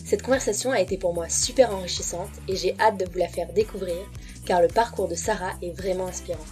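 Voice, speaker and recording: Calm woman hosting a podcast. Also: lowish voice, brisk. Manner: calm, hesitant with fillers. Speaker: podcast host. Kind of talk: podcast intro and interview. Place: Montreal. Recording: faint music behind.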